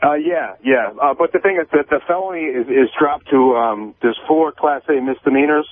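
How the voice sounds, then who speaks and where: A man's voice: a little high, moderate pace. A man calling in to a radio talk show over the phone, rambling casually and personally, often stammering and repeating his words. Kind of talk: casual confessional chat. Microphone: telephone. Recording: tinny, band-limited phone line.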